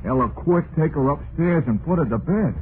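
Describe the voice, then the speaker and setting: A man's voice: a little high, animated, natural voice. An actor playing a simple, trusting son who longs for his mama, plaintive and folksy in an old-time radio drama. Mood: anxious, overjoyed. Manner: slow-witted, plaintive, folksy. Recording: tinny, noisy vintage radio recording.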